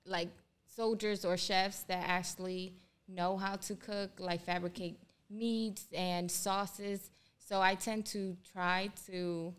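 Young woman from Ohio, Spanish first language, flat even delivery, very clear voice, hesitant with fillers. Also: medium pitch. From Ohio, USA. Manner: hesitant, many "um"s. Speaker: guest, army culinary instructor. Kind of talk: casual interview. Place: small studio room. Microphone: desk mic on boom, headphones.

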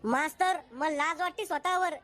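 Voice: sits high, moderate pace, pushed and bright. A voice actor playing a cheeky, boastful village schoolboy.

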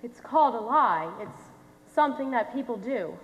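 A woman's voice: on the high side, expressive, moderate pace, dull audio. A young woman playing an earnest, exasperated scientist in an amateur stage play, firm and explanatory in delivery. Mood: patient, firm. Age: young adult. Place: school theatre stage. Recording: echoey theatre hall.

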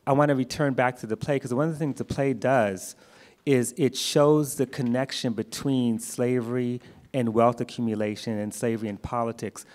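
A man's voice: medium pitch, steady tone, moderate pace, a little mellow. A middle-aged man responding as a panelist, explanatory and emphatic, into a handheld microphone. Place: theatre stage. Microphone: handheld microphone held close.

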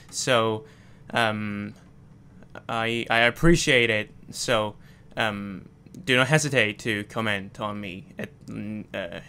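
A man casually commenting on his game playthrough, hesitant, with many pauses and fillers. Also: mid-range voice, pushed and bright, clean tone. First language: not Japanese. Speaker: gameplay commentator. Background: game music.

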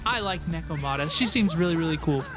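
A woman's voice: deep voice, animated, fast talker. A woman reacting casually to game videos, gushing and enthusiastic as she comments. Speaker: reaction streamer. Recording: music behind the voice. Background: music and game video audio.

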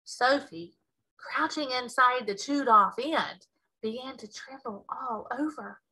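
A woman in her thirties reading a children's story aloud with expressive narration, like a teacher. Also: on the high side, a little slow, breathy edge, rough recording.

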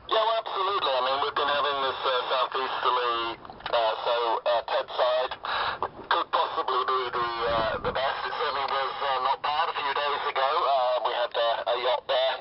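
A man's voice: mid-range voice, steady tone, normal speed. A man talking over marine radio as an island operator, explaining things in a hesitant, polite, unscripted way. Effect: VHF marine radio. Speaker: island radio operator guiding an arriving yacht. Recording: muffled, noisy marine radio speaker.

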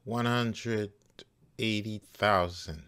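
Male tutor, clear resonant tone, extremely unhurried, deliberate step-by-step explanations. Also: on the low side, steady tone, a bit bright. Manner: deliberate, halting phrase by phrase. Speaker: math teacher. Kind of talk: step-by-step worked-problem tutorial.

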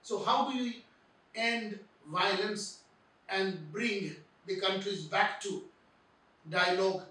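A middle-aged man giving measured political commentary to camera, speaking deliberately with pauses, seated indoors by a window. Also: sits high, bright, forceful tone, far from the mic. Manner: deliberate, slow phrasing with pauses and fillers. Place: indoor room by a frosted window. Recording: very echoey room, mic set back.

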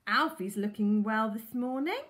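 A polite, bashful older gentleman who speaks solemnly and carefully, as a woman reading a story voices him. The voice is slow and lightly breathy, with a lively lilt. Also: fairly high pitch. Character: shy, lovesick older man, polite and quiet.

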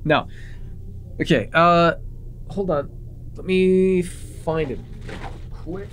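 A man's voice: on the high side, drawn-out words, a bit bright, faint music behind. A man playing an eager, awkward young vampire in an intimate ASMR roleplay, rambling nervously and stumbling over his words. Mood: chatty, playful. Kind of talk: intimate ASMR roleplay, one-sided conversation.

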